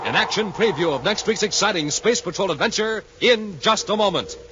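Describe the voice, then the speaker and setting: Animated, emphatic 1950s radio announcer man. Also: high-pitched, a little fast. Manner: enthusiastic, emphatic, showy. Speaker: announcer and sponsor pitchman. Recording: muffled, noisy 1950s radio recording.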